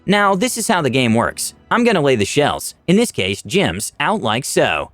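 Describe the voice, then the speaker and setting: A man's voice: fairly high pitch, huge pitch swings, normal speed, fairly bright tone, no echo, faint music behind. A man voicing an adventurer who placates a villain, over-polite and ingratiating, in a fantasy story. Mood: explaining.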